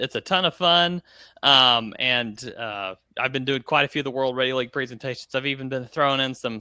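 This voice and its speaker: Bright-voiced man hosting a podcast. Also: medium pitch, smooth, no room sound. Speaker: podcast host.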